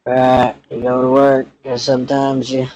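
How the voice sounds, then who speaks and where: A man acts out a young Texan ranch hand with a very monotone delivery. He is laid-back and folksy, rambling through his phone conversation in halting phrases at a middle pitch. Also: lo-fi sound, close to an everyday voice. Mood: folksy, frank. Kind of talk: improvised prank phone call in character.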